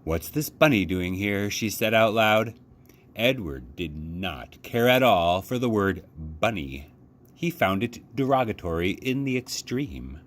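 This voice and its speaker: A man reading a children's book aloud as a storytime host, with measured, deliberate phrasing and dramatic emphasis. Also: lowish voice, big pitch swings.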